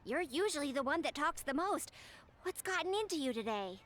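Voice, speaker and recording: A woman's voice: high-pitched, sing-song, fairly quick, fairly bright tone, slight background noise. A woman voicing a perky, inquisitive, blunt young child in a video game. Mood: puzzled. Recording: background music under the voice.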